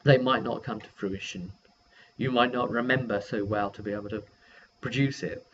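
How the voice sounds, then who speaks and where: Man rambling haltingly on a dull recording. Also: lowish voice, steady tone. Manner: halting, self-correcting delivery. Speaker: game master and video host. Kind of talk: casual rambling session recap. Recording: dull lo-fi sound with faint noise.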